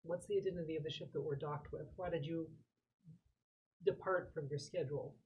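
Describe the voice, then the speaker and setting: Brisk, probing female investigator played by a woman. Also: sits low, steady tone, a little mellow, muffled recording, natural voice. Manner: direct, formal questioning.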